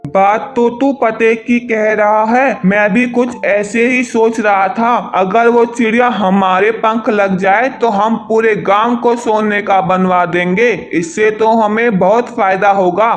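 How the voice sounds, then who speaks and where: A man voicing a greedy, scheming eagle village chief with a commanding, calculating manner in a children's animated story. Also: sits high, slow pace, hardly any pauses, faint music behind.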